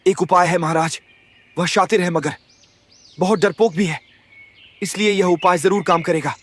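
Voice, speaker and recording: A man voicing a loyal young wolf commander in an animated fable, respectful and eager in manner. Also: high voice, normal speed. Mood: confident. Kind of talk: Hindi animated moral story dialogue.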